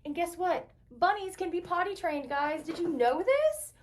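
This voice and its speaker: High-voiced woman guiding kids, slightly distant mic. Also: animated, moderate pace. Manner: enthusiastic, simple child-directed explaining. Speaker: animal shelter guide. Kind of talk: educational virtual tour for children. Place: indoor animal shelter rooms. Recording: distant mic, faint background noise.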